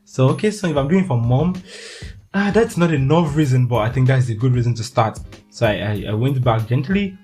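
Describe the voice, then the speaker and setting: A man's voice: mid-range voice, expressive, a little fast, dark tone, husky, faint music behind. A young Nigerian man hosting a solo podcast, talking in a chatty way with frequent laughs and restarts. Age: young adult. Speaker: podcast host.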